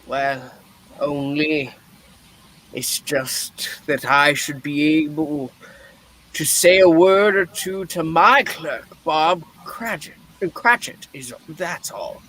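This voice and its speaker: Man acting a melodramatic old miser, high-pitched, slow, defensive, stage-play reading. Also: bright, forceful tone, exaggerated voice, rough recording. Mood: hesitant, subdued. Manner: melodramatic, exclamatory. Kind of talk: theatrical amateur play reading.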